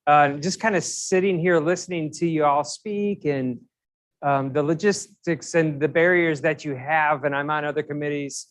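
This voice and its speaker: A man's voice: a little high, fairly even intonation, moderate pace. A male physician speaking informally to a public commission, conversational with frequent fillers and repeated words. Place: meeting room.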